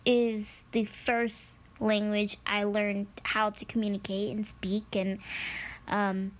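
A woman's voice: mid-range voice, flat delivery, slow pace. A young woman from the American Midwest, whose first language was Spanish, hosting her own podcast in a chatty, upbeat way with many ums and restarts. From United States, Midwest. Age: young adult. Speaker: podcast host. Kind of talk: casual solo podcast talk.

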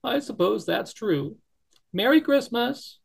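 A man voicing a small, lonely young bunny who pleads and sniffles in a high voice. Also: sing-song, normal speed, creaky, full character voice. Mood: tearful.

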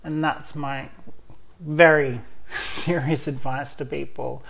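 A woman with a notably low voice talks openly in a relaxed chat. She laughs often between points. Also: takes it slowly, vocal fry, poor audio. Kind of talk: casual chat.

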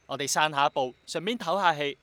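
A man's voice: fairly high pitch, fairly quick, bright, forceful tone, dry recording. An actor with a Hong Kong Cantonese accent playing an earnest, dreamy young man who is obsessed with bringing back rain, in a radio drama. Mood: eager, flustered. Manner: passionate, persuasive, romantic-minded.

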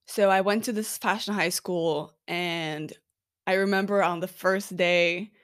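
A woman's voice: lowish voice, fairly even intonation, measured pace, no echo, clean studio sound. A woman in her twenties from Norway hosting her own podcast, chatting casually and upbeat, with lots of "like" and fillers. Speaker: podcast host. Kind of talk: casual solo podcast monologue.

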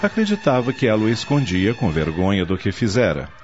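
A man's voice: lowish voice, huge pitch swings, a little fast. A man narrating a radio soap opera in a dramatic, scripted style. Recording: muffled audio with music behind.

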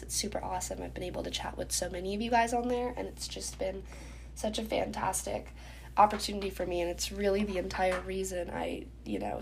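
A woman's voice: mid-range voice, creaky, slight background noise. A young woman hosting a casual solo podcast, chatting loosely with lots of ums, her voice stuffy and congested from a recent illness. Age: young adult. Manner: chatty, many ums, getting ready while talking. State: congested, stuffy nose, sore throat. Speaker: podcast host.

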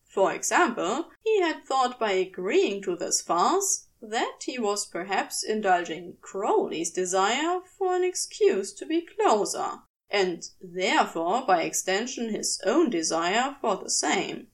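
A woman narrating a story in a measured, expressive storytelling manner, as in an audiobook. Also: medium pitch. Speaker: podfic reader. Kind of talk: scripted fiction narration, audiobook reading.

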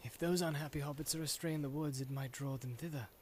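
Legolas, a soft-spoken elf archer, read by a man. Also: a little high, fairly even intonation, fast talker, slight background noise, natural voice. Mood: gentle. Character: calm, thoughtful male elf archer.

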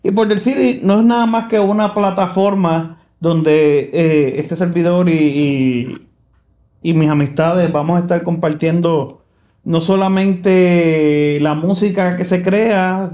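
A man's voice: on the high side, steady tone, measured pace, warm tone. Chatty man hosting a Spanish-language podcast. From South Texas. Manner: chatty, rambling with many fillers and restarts, laughs easily. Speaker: podcast host. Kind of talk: casual podcast chat. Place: church live room.

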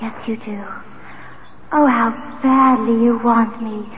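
Ardent ghostly sweetheart, high voice. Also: female voice, steady tone, slow, a little hiss or hum. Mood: imploring, possessive. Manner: melodramatic, ardent. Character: romantic, possessive ghost of a dead sweetheart. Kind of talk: 1970s radio drama acting. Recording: tinny 1970s radio broadcast with music.

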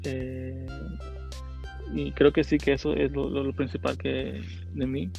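Gravelly Dominican-born man, hesitant. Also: medium pitch, monotone, unhurried, dark tone, soft background music. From Dominican Republic. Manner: hesitant, many fillers, mixes in English words. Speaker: interview guest, content creator. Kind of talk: casual interview answers.

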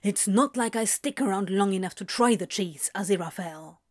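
A woman reads this part as a sardonic male demon with a curt, drawling delivery and a blunt, flirtatious edge. The voice sits at a middling pitch, dropped a little for the role, and the lines come out clipped and dry. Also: unhurried, natural voice. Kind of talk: audiobook reading of fan fiction.

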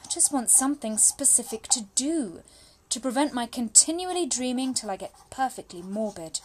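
A woman playing an anxious, spirited young Victorian gentlewoman, confiding in her brother through letters in a formal, genteel and expressive dramatic monologue. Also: fairly high pitch, normal speed, slight background noise, lightly acted. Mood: wistful, restless.

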